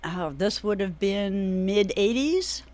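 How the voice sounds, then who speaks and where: A middle-aged woman with a New England accent, a guest on a radio interview, speaking in a measured way with frequent ums. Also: medium pitch, animated, darkish tone. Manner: measured, hesitant with many ums. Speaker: political candidate, radio guest. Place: radio studio.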